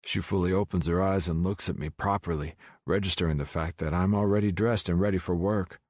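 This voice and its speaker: Male audiobook narrator, low register, first-person romance, dry recording. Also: fairly even intonation, a little fast, darkish tone, dry recording.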